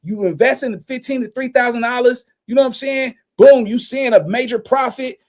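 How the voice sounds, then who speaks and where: High-pitched man giving slangy street commentary. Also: a little fast. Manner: rambling, slangy, animated hustler talk. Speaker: streamer commenting on news. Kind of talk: casual street-talk commentary.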